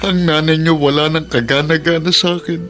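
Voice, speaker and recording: A man's voice: fairly high pitch, fairly even intonation, brisk, a bit bright, faint music behind, close to an everyday voice. A man playing a despairing, self-pitying middle-aged father with a Filipino accent, acted melodramatically for radio drama. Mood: bitter, suspicious.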